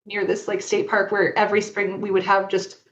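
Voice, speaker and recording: Casting: middle-aged woman, mid-pitched, candid and reflective conversational guest, slightly lo-fi recording. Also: completely flat delivery, fairly quick. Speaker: interview guest, fan fiction author. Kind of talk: casual podcast conversation.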